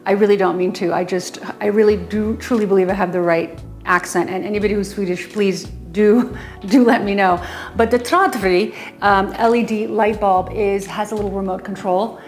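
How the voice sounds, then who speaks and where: A woman talks excitedly through her favorite home finds, at a middling pitch, full of ums and little gushes of praise. Faint music plays softly behind her. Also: normal speed. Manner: enthusiastic, gushing, many ums. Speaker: home design vlogger. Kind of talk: casual product demo to camera. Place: her home, closet and kitchen.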